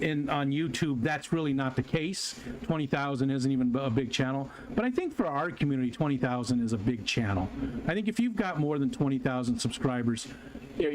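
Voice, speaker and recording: A man hosting his own live stream, chatting casually with viewers in a rambling way, with lots of fillers and restarts. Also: medium pitch. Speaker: livestream host. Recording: noticeable background noise.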